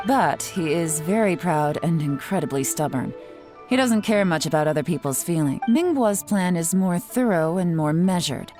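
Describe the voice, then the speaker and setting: Woman as cool game investigator, low mellow voice, composed, analytical, faint music behind. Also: close to an everyday voice. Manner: measured, analytical, matter-of-fact. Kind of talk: video game voice acting.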